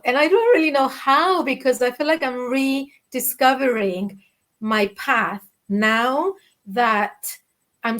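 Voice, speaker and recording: Cypriot greek accented woman in her 40s, slightly high voice, thinking out loud on a webcam call from home. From Cyprus. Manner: candid, reflective, many restarts and fillers. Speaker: guest, children's author. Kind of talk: interview over video call. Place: living room at home. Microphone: video call webcam.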